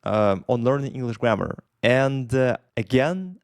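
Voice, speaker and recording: A man's voice: mid-range voice, expressive, unhurried, smooth, no echo, studio quality. A man from Russia with a Russian accent, talking unscripted to his camera and rambling with many fillers and restarts. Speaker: YouTuber. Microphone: camera in front of him.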